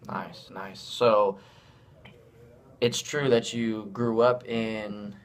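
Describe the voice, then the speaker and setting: A man's voice: on the low side, steady tone, drawn-out words. A young man interviewing a fellow student, asking loose, rambling questions and sharing his own thoughts. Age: young adult. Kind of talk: casual interview.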